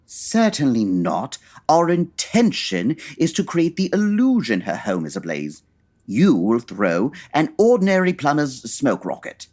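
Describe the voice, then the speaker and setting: A woman playing a pompous, dryly impatient male Victorian detective with a husky voice and formal, precise diction, in a comedy audio drama. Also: a bass voice, huge pitch swings, measured pace, darkish tone, full character voice. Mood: instructive, dry.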